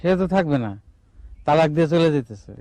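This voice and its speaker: A man's voice: a little high, animated, normal speed, a little mellow, lightly acted. An actor playing a good-natured, half-mad village man in a comic drama, rambling earnestly in rural Bangladeshi Bengali. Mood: matter-of-fact.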